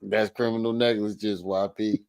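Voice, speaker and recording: A man's voice: on the low side, flat delivery, normal speed, gravelly, a little mellow, no room sound. A man giving casual, slangy reaction commentary as a show host, sounding amused.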